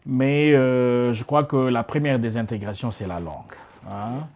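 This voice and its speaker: A man's voice: medium pitch, a little slow, a little mellow, highs cut off. A middle-aged man from Congo, a guest in a casual interview, speaking with many fillers and restarts. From Democratic Republic of Congo.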